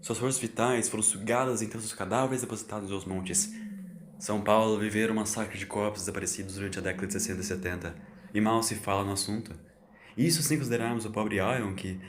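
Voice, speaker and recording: A man voicing a weary ancient male time traveller, speaking quietly and heavily. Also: on the low side, steady tone, fast talker, close to an everyday voice. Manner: quiet, subdued and heavy. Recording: roomy echo, faint hiss.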